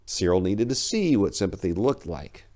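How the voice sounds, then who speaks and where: Deep voiced guy narrating a story, theatrical, voice swings up and down a lot. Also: big pitch swings, moderate pace, a little mellow. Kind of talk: dramatic audiobook reading.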